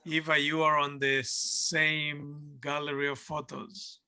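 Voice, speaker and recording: A man's voice: a little high, little pitch movement, takes it slowly, sharp tone, clear, resonant tone. A man moderating an online meeting, calling on speakers with brief, teasing remarks.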